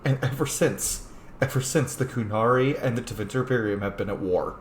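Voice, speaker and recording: A man's voice: medium pitch, brisk. Casual man commenting in an echoey room. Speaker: gamer commenting on playthrough. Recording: roomy echo, faint noise.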